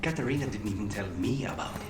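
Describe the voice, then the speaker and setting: Composed noble killer, fantasy game, male actor: lowish pitch, serious, clipped phrasing, heavy musical bed. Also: lively intonation, very fast, loud music behind the voice, natural voice. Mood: guarded. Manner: controlled, terse, serious. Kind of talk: video game dialogue acting.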